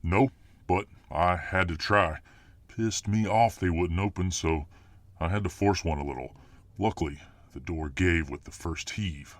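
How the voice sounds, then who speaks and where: Here is a man acting out a huge muscle-bound fireman in an audio roleplay. His voice sits low, with a very dry sound. He talks casually and without hurry, a self-assured hero who stays modest. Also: unhurried, no room sound. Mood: modest pride.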